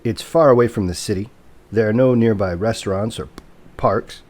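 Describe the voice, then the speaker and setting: A man's voice: fairly low pitch, normal speed, a little mellow, close to an everyday voice. A man playing a formal, coolly courteous property broker who stutters, in an audio drama.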